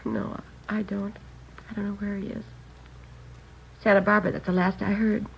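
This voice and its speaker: A woman's voice: medium pitch, flat delivery, normal speed, creaky. An actress in 1950s radio drama playing a worried, devoted seamstress in her thirties who talks hesitantly and pleads. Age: 30s. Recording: muffled old radio broadcast with hiss.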